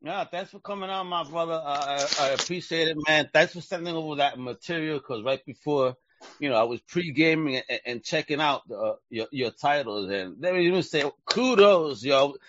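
A man's voice: a little high, moderate pace, a bit bright, no echo. A middle-aged man hosting a podcast in casual, slangy, hyped-up chat, sprinkling in Spanish greetings. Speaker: podcast host. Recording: dull lo-fi sound.

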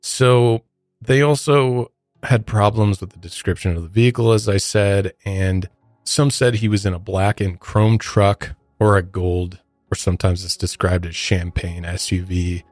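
American guy hosting true crime podcast, low voice, chatty narration, dry close sound, faint music under. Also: steady tone, a little slow, a little mellow, dry recording. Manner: conversational, informal asides. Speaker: podcast host. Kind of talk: true crime storytelling with casual commentary.

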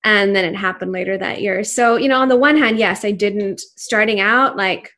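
A woman's voice: medium pitch, normal speed, sharp tone. Woman in her 30s, native Urdu speaker, entrepreneur guest; reflective, earnest, lots of "you know". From Pakistan. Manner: earnest, reflective, many fillers and restarts. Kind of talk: casual interview conversation.

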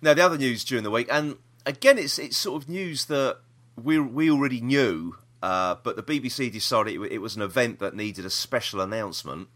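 A British man with a bright, lively voice hosts a casual podcast. His pitch moves around a lot as he rambles through the news and gives his opinions freely. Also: fairly quick, few pauses. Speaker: podcast host. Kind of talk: casual chat about TV news.

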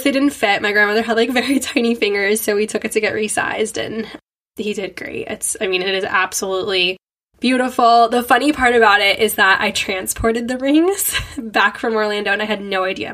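A woman's voice: mid-range voice, fairly bright tone. Young woman, stuffy nose from a cold, giggly, rambling casual chat. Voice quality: nasal, stuffy. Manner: bubbly, giggly, rambling with lots of "like". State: congested from a head cold. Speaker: podcast host. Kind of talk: casual chatty monologue.

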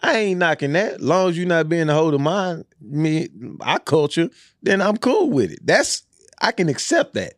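A young adult American man co-hosting a podcast, bantering casually in animated, profane slang. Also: on the high side, normal speed, no echo, studio quality. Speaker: podcast co-host.

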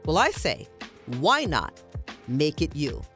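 A woman's voice: sits low, huge pitch swings, slow pace, fairly bright tone, faint music behind. A mature woman reading a scripted radio advertisement for her own business. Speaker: inventor, business owner.

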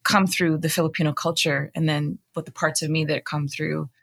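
A woman's voice: low-pitched, little pitch movement, moderate pace, creaky, a bit bright, husky, no room sound. A woman talking casually on a podcast, with many fillers like "like". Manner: casual, heavy use of like.

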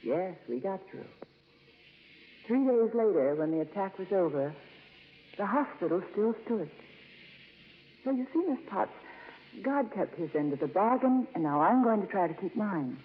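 An actress playing an earnest, devout nun with a polite, gently insistent manner in a 1950s radio drama. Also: female voice, medium pitch, expressive, normal speed, pauses often, close to an everyday voice. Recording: muffled, reverberant 1950s radio broadcast.

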